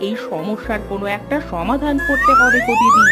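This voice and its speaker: A woman playing an animated, high-voiced village woman. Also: fairly quick. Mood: complaining. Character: weary, plaintive village woman. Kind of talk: cartoon character voice acting. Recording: music under the voice.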